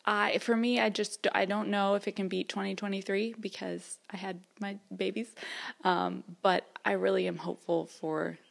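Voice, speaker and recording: A woman's voice: mid-range voice, little pitch movement, normal speed, gravelly. A woman, chatting casually to camera in a rambling way with fillers and small laughs. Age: 30s. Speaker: vlogger. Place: her mother's house, indoors.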